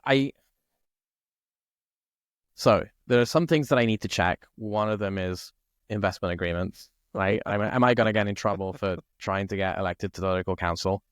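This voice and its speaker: A man from Italy co-hosting a podcast, chatting casually and thinking out loud, often checking in with "right?". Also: fairly low pitch, normal speed, smooth, no room sound, clean studio sound. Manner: thinks aloud, peppers speech with "like" and "right?". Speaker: podcast co-host. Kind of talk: casual conversation.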